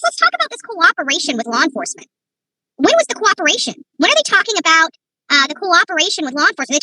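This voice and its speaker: A woman's voice: very high-pitched, sharp tone, dry recording, rough recording. A woman giving opinionated, rambling livestream commentary from her phone, often breaking into indignant rhetorical questions. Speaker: online commentator.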